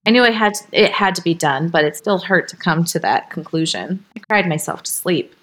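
A woman reading a story aloud as a podcast host, informal and expressive, ready to step out of the narration for asides. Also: fairly low pitch, fairly quick. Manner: expressive, breaks character, giggly slips and asides.

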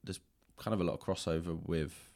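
A man's voice: deep voice, fairly even intonation, brisk, a little mellow. A man hosting a casual, conversational interview. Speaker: podcast host and interviewer. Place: indoors in a public library room.

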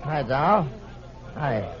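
A man's voice: on the high side, lively intonation, drawn-out words, crowd noise. An American man playing a smug, insinuating Broadway gossip columnist in 1940s radio acting style. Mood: needling. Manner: sly, insinuating. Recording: dull old radio recording.

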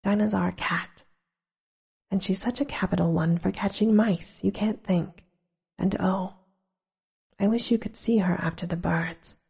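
Eager polite little girl, whispered, breathy, gravelly, put on by a woman. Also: medium pitch, a little slow, pauses often, darkish tone, full character voice.

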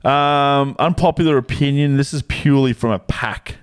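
A man's voice: medium pitch, normal speed, clear, resonant tone, dry recording, studio quality. A young Australian man co-hosting a podcast, chatting in crude, joking banter and laughing often. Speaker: podcast co-host. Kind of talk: casual banter.

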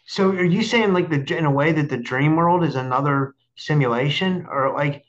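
A man hosting a casual interview, speaking haltingly and repeating words as he searches for how to put things. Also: a little high, lo-fi sound. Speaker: podcast host interviewing a guest.

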